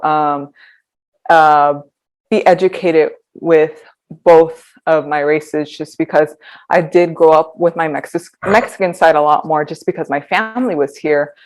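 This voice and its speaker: A woman in her twenties, a podcast guest talking reflectively, pausing often with ums. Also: low voice. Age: 20s. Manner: reflective, hesitant with frequent ums. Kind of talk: conversational interview.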